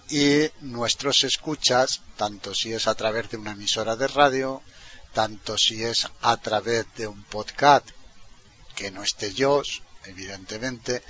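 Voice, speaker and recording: A man from Spain talks listeners through software step by step in an informal, explanatory way. He often rounds off a phrase with a short questioning tag. The sound is dull and lo-fi. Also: mid-range voice. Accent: Spanish from Spain. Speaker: tutorial presenter. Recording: dull, lo-fi computer microphone recording.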